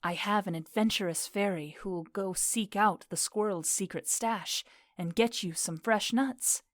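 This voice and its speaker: A woman's voice: medium pitch, a little slow, dry recording, clean studio sound, close to an everyday voice. An actress playing a tender yet commanding fairy queen in an audio drama of modernized Shakespeare. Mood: adoring.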